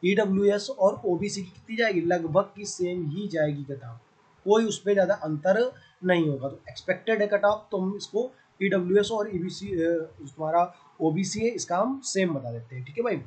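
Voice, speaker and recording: Male coaching teacher, North Indian accent, high-pitched voice, explains conversationally and keeps checking in with listeners. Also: expressive, moderate pace, darkish tone, poor audio. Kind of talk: informal explanatory lesson.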